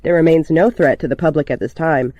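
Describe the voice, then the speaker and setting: A man's voice: fairly high pitch, brisk, darkish tone, no room sound, dull audio, natural voice. A man playing a composed local radio news anchor, announcing a scripted bulletin in a formal, orderly way. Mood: serious, neutral.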